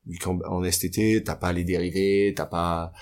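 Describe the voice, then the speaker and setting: Young guy, lowish voice, open and halting storyteller. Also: little pitch movement, a little mellow. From France. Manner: candid, hesitant, many fillers and restarts. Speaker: guest. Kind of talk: casual interview, personal story.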